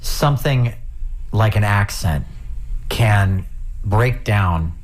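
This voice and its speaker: A man's voice: fairly low pitch, slow pace. A man hosting a community radio music show, easygoing and agreeable, talking in long run-on thoughts. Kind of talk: radio interview and sign-off. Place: radio station studio.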